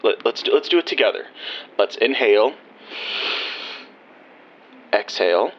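A man playing a reassuring small-town doctor in an audio drama, gently repeating himself. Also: on the low side, lively intonation, a little slow, muffled recording, a little hiss or hum. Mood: soothing, concerned. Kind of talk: naturalistic audio drama acting.

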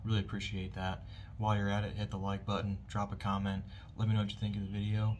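A man in his thirties reviewing beard products in a relaxed, casual vlog from a dim bedroom, often repeating words of praise. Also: on the low side, fast, highs cut off, noisy background.

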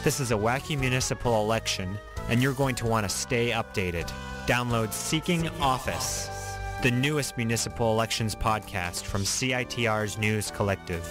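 A man's voice: medium pitch, moderate pace. A man reading a radio promo for a podcast in an upbeat announcing tone. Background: music.

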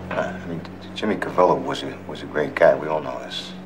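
An actor playing a nervous middle-aged mobster who rambles anxiously and tries to smooth things over. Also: male voice, a bass voice, brisk, noisy background. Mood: placating. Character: nervous middle-aged male mobster pleading for his brother. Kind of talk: film dialogue. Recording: distant film soundtrack with music.

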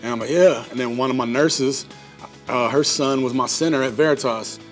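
A man's voice: medium pitch, fairly even intonation, brisk, fairly bright tone, faint music behind. An American man in his forties, a coach, telling casual anecdotes and acting out the quotes. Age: 40s. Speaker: football coach. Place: small office.

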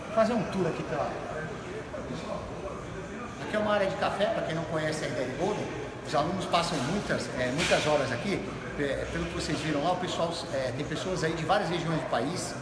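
Fast-talking man giving a guided tour. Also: a little high, heavy background noise. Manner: guiding, explanatory, addresses viewers directly. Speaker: course instructor giving a tour. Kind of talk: live walkthrough video. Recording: roomy echo, distant mic, loud music behind.

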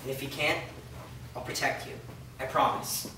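A man's voice: mid-range voice, flat delivery, moderate pace, close to an everyday voice. An earnest, protective teenage boy in love, played by a man, speaking reassuringly at a staged reading. He is heard from far off in a very echoey room with noise. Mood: urgent, reassuring. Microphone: far camera. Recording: echoey room, distant mic, noisy.

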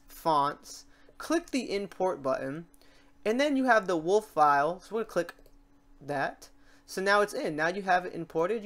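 Casual, chatty software tutorial host. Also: medium pitch. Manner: informal, chatty step-by-step instruction.

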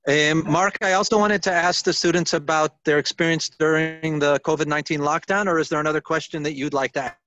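Relaxed guy asking students questions, slightly high voice, dry close sound. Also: fairly quick, hardly any pauses, no echo, highs cut off. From born outside Israel. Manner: easygoing, prompts and rephrases for the students. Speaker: liaison interviewing students. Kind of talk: casual conversational questioning.

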